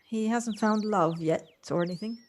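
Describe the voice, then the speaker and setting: A woman's voice: medium pitch, moderate pace, a little mellow, lightly acted. A woman playing an eager, inquisitive newcomer in her forties in an amateur audio drama, sometimes hesitant. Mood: curious. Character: inquisitive, eager forty-five-year-old woman newcomer. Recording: noisy home recording on personal device.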